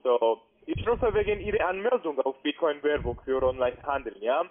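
A man's voice: on the high side, moderate pace. A man speaking non-native German, making a sales call as an account manager. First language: not German. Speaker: cold caller, investment account manager. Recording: tinny phone line.

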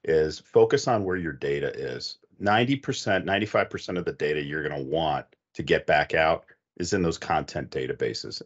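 Middle-aged man, very low voice, expert panelist, methodical explanations, dull video-call audio. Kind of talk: conversational technical advice.

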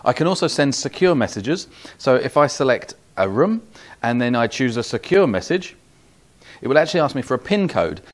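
A man's voice: medium pitch, sing-song, normal speed. A man in his forties with a British accent giving a methodical, step-by-step product demonstration. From United Kingdom. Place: small office demo room, indoors.

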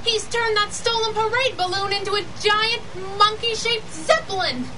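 An actress playing a feisty, excitable female superhero sidekick in a radio drama, punchy and exclamatory. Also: extremely high pitch, moderate pace, recorded from a distance, very noisy. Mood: alarmed. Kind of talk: 1930s-style radio superhero drama acting.

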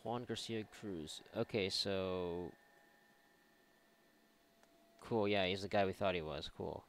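A man's voice: sits low, slow, slight background noise. A man giving casual, rambling and sarcastic let's play commentary, swearing freely as he goes. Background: video game ambience.

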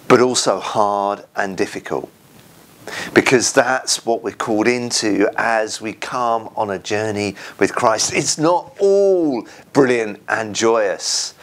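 Slow-paced English minister preaching. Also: male voice, on the low side, fairly bright tone. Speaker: church minister. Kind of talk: informal sermon to camera. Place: indoors, small room at home. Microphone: lapel mic.

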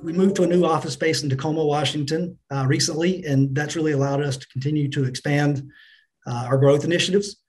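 A middle-aged man answering business interview questions as a company finance chief, in a measured, businesslike manner. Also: a little high, steady tone, darkish tone, poor audio. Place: indoors on a remote video call.

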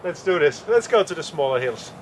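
A man's voice: on the high side, brisk. Upbeat man vlogging on noisy ski hills. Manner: upbeat, laughs often, excitable. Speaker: vlog host, cross-country skier. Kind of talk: casual vlog chat. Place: outdoors on snowy ski hills and in a changing room. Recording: noisy outdoor camera sound.

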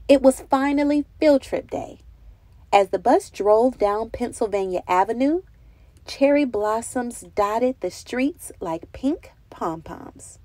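A woman narrates a children's picture book expressively. Her pitch moves around in a lively way, and the recording is dry and free of room sound. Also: no room sound. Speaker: children's book read-aloud reader.

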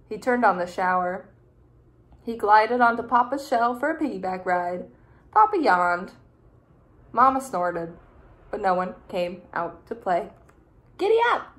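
A young adult woman, a teacher, reading a picture book aloud in a deliberate, expressive way for small children. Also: mid-range voice. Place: small room indoors.

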